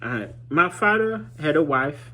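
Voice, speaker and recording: A man, telling a personal story informally as a vlogger, halting and reflective as he searches for words. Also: a little high, sing-song, pushed and bright. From Haiti. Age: 30s.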